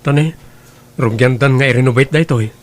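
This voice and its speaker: Husband role: a man voicing a steady, matter-of-fact speaker. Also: medium pitch, quick pace, lightly acted.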